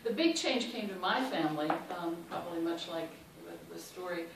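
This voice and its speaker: A woman's voice: on the low side, animated, moderate pace, gravelly. A woman with a Chicago accent, telling personal stories on a panel with lots of ums and uhs. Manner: warm and anecdotal, many ums and uhs. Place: large hall. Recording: echoey hall, mic far off, noisy.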